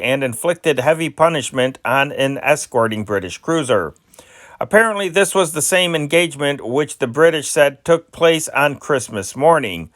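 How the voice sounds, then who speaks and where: A man with a mid-pitched voice reads scripted news reports as an amateur presenter. His delivery is formal and measured, with datelines set out deliberately. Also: fairly bright tone. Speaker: amateur news reader, channel host. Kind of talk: scripted news reading of old wartime newspaper reports.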